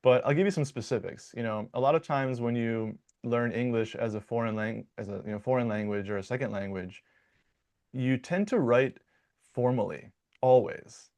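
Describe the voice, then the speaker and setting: A young American man from Michigan, a dialect coach, answering interview questions in a relaxed, playful way with frequent restarts and fillers. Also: medium pitch, moderate pace. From Michigan, United States.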